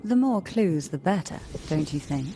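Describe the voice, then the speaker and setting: A woman playing a sly, poised family matriarch in a video game, with an aloof, teasing and deliberate delivery. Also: sits low, animated, noisy background. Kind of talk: scripted video game dialogue.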